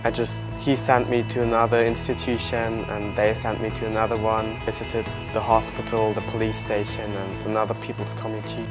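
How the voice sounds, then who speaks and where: A man in his early twenties from Germany, speaking non-native English with a German accent, telling his personal story in a halting, plain way. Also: fairly low pitch, flat delivery. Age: early 20s. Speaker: interviewee, adoptee searching for birth family. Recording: loud music under the voice. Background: music.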